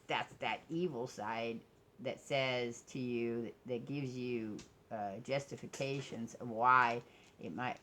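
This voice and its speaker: Very low-voiced woman rambling candidly. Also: fairly bright tone. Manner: candid, self-deprecating, blunt. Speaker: vlogger. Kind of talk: casual rambling personal vlog.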